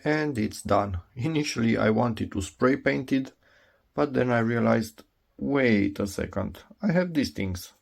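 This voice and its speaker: A man's voice: mid-range voice, a little slow, creaky. A man hosting a casual DIY vlog, thinking aloud and repeating himself for emphasis. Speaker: DIY video host. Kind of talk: casual unscripted workshop vlog commentary.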